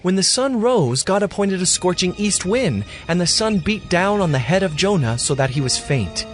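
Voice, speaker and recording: Animated, higher-voiced male Bible narrator. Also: moderate pace, soft background music. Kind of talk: scripted dramatic Bible narration.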